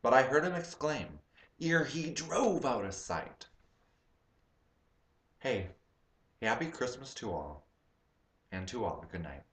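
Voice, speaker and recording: A man's voice: mid-range voice, animated, slow, pauses often, a bit bright, echoey room. A man reciting a poem in a dramatic, playful, hammy way, dropping in comic asides. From United States.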